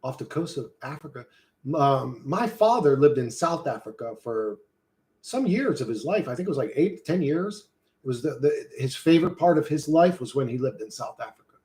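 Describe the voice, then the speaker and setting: A man's voice: medium pitch, expressive, normal speed, warm tone. A man streaming live, chatting casually with his viewers, swearing freely and calling everyone bro. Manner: loose, profane, says "bro" a lot; rambling, reads and answers chat.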